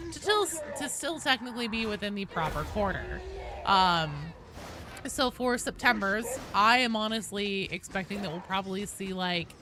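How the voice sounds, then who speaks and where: A woman live streaming a game, chatting casually and excitably with her viewers and swearing freely, with game sound behind her. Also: medium pitch, noisy background. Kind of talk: casual live stream chat with gameplay reactions.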